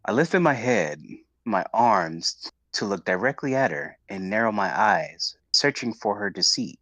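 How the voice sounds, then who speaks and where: A man's voice: on the low side, sing-song, measured pace, sharp tone, no echo. A man streaming on a close microphone, chatting casually and narrating a visual novel aloud.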